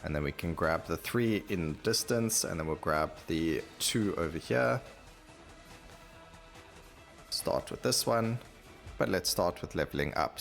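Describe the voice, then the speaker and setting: Male gaming host, deep and gravelly, casual rambling play-by-play over light game music. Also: a bit bright. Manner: rambling, thinking aloud mid-sentence. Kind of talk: casual gameplay commentary.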